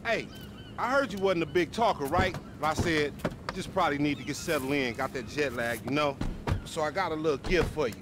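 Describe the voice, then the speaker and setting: Man acting young game hustler, slightly high voice, rambling, over-familiar, slangy, noisy outdoor ambience. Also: brisk, lightly acted. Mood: friendly, eager. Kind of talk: video game cutscene acting. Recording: clear outdoor traffic ambience.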